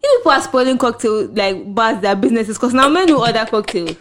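A young woman with a Ghanaian accent, co-hosting a casual podcast chat, animated and quick to laugh. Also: a little high, a little hiss or hum. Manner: animated, laughs often, repeats words. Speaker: podcast co-host.

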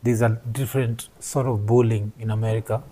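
A man's voice: lowish voice, little pitch movement, a little fast, mellow. A man, hosting a casual chat, asking curious questions and joking. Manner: curious, asks questions and jokes. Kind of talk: casual chat switching between Swahili and English.

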